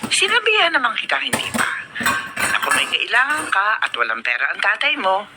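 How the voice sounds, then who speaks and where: A woman's voice: mid-range voice, huge pitch swings, a little fast. A woman playing a doting middle-aged aunt in a radio drama, speaking with a Filipino accent. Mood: supportive. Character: doting, generous aunt who readily helps. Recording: very noisy radio playback.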